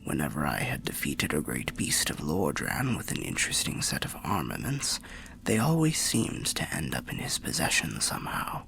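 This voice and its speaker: A man voicing an old undead soul telling fantasy tales in a musing, unhurried ASMR roleplay manner, low, gravelly and half-whispered. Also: sing-song, sharp tone, full character voice. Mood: helpful, musing. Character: old undead soul, genial storyteller by a bonfire.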